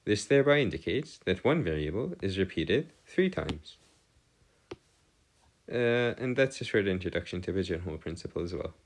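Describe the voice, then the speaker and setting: A man giving a step-by-step math lesson as a tutor, halting and pausing to check himself, with formal, bookish wording. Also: low-pitched, big pitch swings. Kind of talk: lesson, working through equations step by step.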